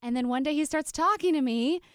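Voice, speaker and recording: A woman's voice: sits high, fairly quick, no room sound, studio quality. A woman, an actress, chatting casually as an interview guest.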